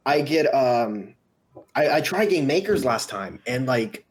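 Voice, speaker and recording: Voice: medium pitch, sing-song, unhurried. A person chatting casually and in a rambling way on an online voice call. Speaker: amateur voice actor in a live comic dub. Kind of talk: casual chat. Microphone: voice call microphone. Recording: faint noise and clicks, online voice call.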